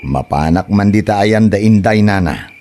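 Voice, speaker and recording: A man's voice: fairly low pitch, animated, moderate pace, mellow, natural voice. A man with an Ilocano accent playing an eager, lovesick young suitor who is easily surprised, in a comedy audio drama. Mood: eager, hopeful.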